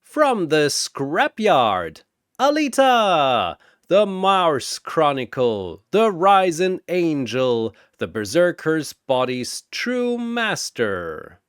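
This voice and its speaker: A man voicing a bombastic cosmic arena announcer for a fantasy battle story, with theatrical, drawn-out delivery. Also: a little high, wildly swooping pitch, clean tone, no room sound, studio quality, full character voice. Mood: bombastic, showy.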